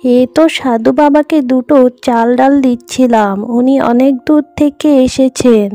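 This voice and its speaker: A man voicing a frail old village mother who leans on a stick, speaking gently. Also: extremely high pitch, unhurried, no room sound, faint music behind, voice pushed up. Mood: kindly.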